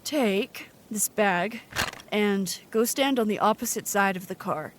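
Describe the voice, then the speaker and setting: An actress playing a practical, patient spellcaster in an audio drama, talking in a matter-of-fact, tentative way. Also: female voice, mid-range voice, a little slow, background noise, lightly acted. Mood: focused. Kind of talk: audio drama acting, naturalistic.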